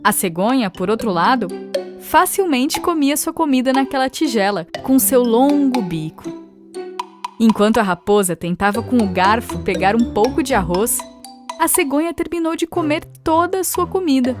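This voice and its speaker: A woman's voice: on the high side, expressive, normal speed. A woman with a Brazilian accent narrating a scripted fable for children as a storyteller. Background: music.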